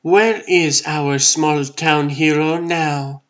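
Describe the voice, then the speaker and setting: Man acting a resentful, slow-talking reporter. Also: fairly high pitch, fairly even intonation, dull audio, loud music behind the voice. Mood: resentful, sarcastic. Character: sceptical, resentful newspaper reporter griping to the boss. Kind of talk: comic book dub acting.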